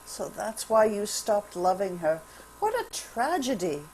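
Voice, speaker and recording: Woman reading as an earnest, animated troubled gentleman. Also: mid-range voice, unhurried, breathy edge, poor audio. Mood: troubled, concerned. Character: earnest, troubled gentleman, close friend of the hero.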